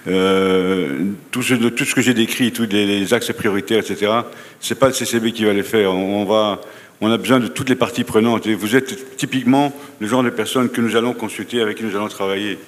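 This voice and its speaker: A man from DR Congo speaks off the cuff as a panelist. His voice is fairly low and echoes through a large conference hall. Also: steady tone, a little fast. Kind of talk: spontaneous panel remarks.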